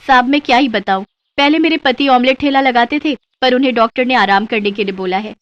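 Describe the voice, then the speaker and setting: A woman's voice: fairly high pitch, a little fast, a bit bright, no echo, highs cut off, close to an everyday voice. A woman playing a humble, earnest working mother in a cartoon story. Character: humble, hardworking mother running a food cart. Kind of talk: Hindi moral-story cartoon voice acting.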